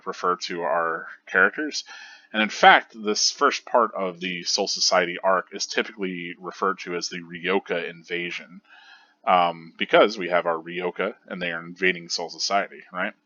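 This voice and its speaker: A man's voice: deep voice, normal speed, sharp tone, highs cut off. A man hosting a manga reread show, giving casual analytical commentary in an enthusiastic, rambling way with lots of fillers and restarts.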